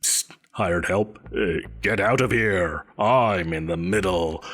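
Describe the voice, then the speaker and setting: An actor playing a pompous, petulant supervillain boss in an audio roleplay, blustering theatrically and defensively. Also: male voice, low-pitched, takes it slowly, fairly bright tone, full character voice. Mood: pompous, indignant. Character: pompous, petulant, evasive male supervillain employer. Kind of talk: scripted audio roleplay addressed to a listener.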